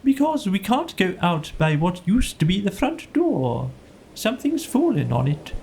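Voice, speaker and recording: Man putting on a pompous, raised-pitch storybook owl. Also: big pitch swings, vocal fry, a little mellow, exaggerated voice. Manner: haughty, deliberate. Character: pompous, easily annoyed old male owl from a children's storybook.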